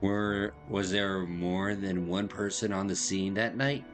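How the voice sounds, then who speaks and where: Joking male gamer with a lowish voice. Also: fairly even intonation, slow pace, music in the background. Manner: joking, slangy. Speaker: gaming streamer. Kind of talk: casual playthrough commentary, hamming up dialogue choices.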